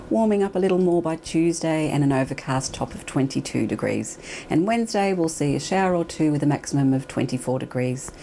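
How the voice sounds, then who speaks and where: A woman with a low, mellow voice reads a local news bulletin and the weather. Her delivery is measured and formal, and faint noise sits behind her. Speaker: news presenter. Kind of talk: scripted news reading.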